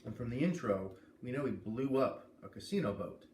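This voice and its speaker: A man doing an expressive storybook read-aloud, pausing to explain and comment as he goes. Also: medium pitch. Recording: echoey room with clear background noise.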